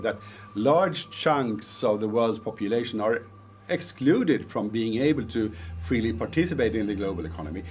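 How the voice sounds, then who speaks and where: A man's voice: lowish voice, darkish tone, lightly acted. An actor playing a grave male expert who lectures formally in a mockumentary film. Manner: formal, lecturing, emphatic lists.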